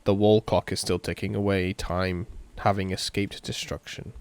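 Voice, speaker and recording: Male let's-play reader, lower register, theatrical and expressive, narrates game text with off-the-cuff reactions. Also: moderate pace, smooth, no echo. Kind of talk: reading a visual novel aloud with reactions.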